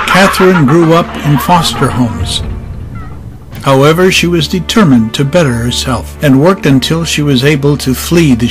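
A man's voice: medium pitch, close to an everyday voice, rough recording. A man giving scripted dramatic narration as the narrator of an audio story. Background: faint music.

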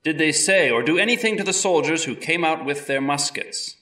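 A man's voice: fairly high pitch, a little fast, pushed and bright. A man playing a formal, probing 18th-century lawyer examining witnesses in a scripted trial re-enactment. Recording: roomy echo, distant from mic.

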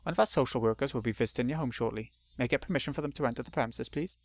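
A man's voice: medium pitch, very fast, close to an everyday voice. A man voicing a formal, self-assured social worker on the phone. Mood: firm. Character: polite, persistent social worker.

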